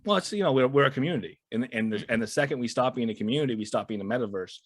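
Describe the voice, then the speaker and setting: Animated man in a dry, close recording. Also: mid-range voice, racing, no room sound. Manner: animated, emphatic, repeats words when starting. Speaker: podcast guest. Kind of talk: casual podcast chat.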